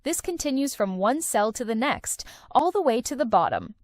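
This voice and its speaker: A woman's voice: on the high side, sing-song, normal speed, no room sound, clean studio sound. A woman narrating a countdown of film and series recommendations in an enthusiastic, recommending tone. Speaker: video host. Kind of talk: scripted list-video narration.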